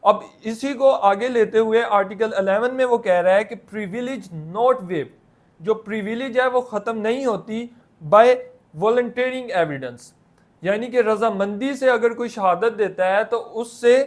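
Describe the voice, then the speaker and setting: A man's voice: high voice, a little slow. A man with a Pakistani accent lecturing on law, explaining step by step in a didactic way and restating his points. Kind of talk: explanatory lecture, Urdu mixed with English legal terms.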